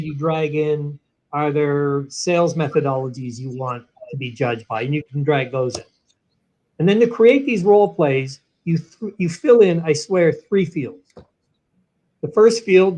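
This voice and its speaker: Animated man explaining step by step. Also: on the high side, poor audio. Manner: animated, walks through steps one by one. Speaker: guest, sales training software executive. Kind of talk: interview, explaining a product.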